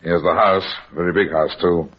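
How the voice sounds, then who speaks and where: An actor playing a wisecracking, unflappable heavyset private detective in a vintage radio drama, wry and sardonic. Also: male voice, deep voice, steady tone, moderate pace, breathy edge. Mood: wry, calm. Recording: muffled vintage radio recording.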